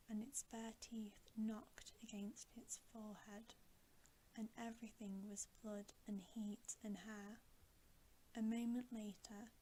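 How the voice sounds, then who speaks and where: Woman, ASMR whisper, slow bedtime reading, very even pitch, faint background noise. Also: rough recording. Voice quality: breathy, unvoiced whisper. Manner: soft, slow, measured. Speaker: ASMR storybook reader, channel host.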